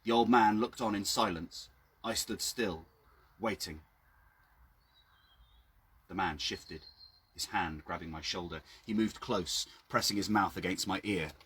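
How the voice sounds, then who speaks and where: A man reading his own story dramatically outdoors, with measured, hushed and suspenseful delivery. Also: sits low, slight background noise. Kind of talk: dramatic scripted story narration. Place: outdoors by a town well.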